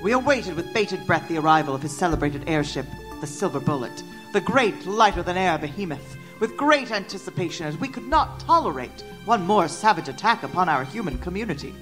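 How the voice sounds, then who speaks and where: An actress playing a genteel Victorian newspaper reporter, narrating a gothic tale in formal, ornate prose. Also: female voice, on the low side, sing-song, a little fast, hardly any pauses, music in the background. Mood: measured, grave. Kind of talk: scripted narration.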